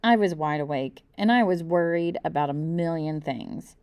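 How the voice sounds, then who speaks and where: A woman's voice: sits low, normal speed, clear, resonant tone, no room sound. A woman reading a children's book aloud as storyteller, expressively narrating in the first person and acting out the dialogue. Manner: animated, acts out the dialogue.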